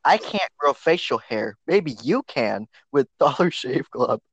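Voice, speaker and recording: Male podcast co-host, goofy and crude, deadpan fake sponsor reads, dry muffled mic. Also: medium pitch, a little slow, husky, dry recording. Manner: joking, crude, mock ad reads. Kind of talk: casual chat. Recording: dry, dull lo-fi audio.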